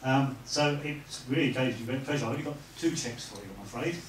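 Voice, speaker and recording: A man speaks from some distance in an echoey room with clear background noise. He is presenting fundraising cheques in an informal thank-you speech, with a halting, hesitant delivery and a middle-pitched voice. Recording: echoey, noisy room, far from mic.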